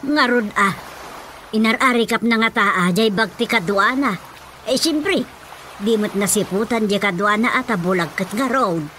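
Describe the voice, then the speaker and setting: A woman's voice: medium pitch, moderate pace, background noise, lightly acted. A woman playing a fussing middle-aged village mother in a radio drama comedy, nagging and commenting. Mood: matter-of-fact.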